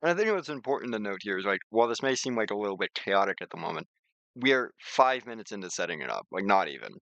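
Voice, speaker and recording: Man with gravelly, lively-pitched voice giving demo. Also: fast talker, no echo, lo-fi sound. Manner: rambling, repeats phrases, thinks aloud. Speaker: software tutorial presenter. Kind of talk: informal screen-share software demonstration.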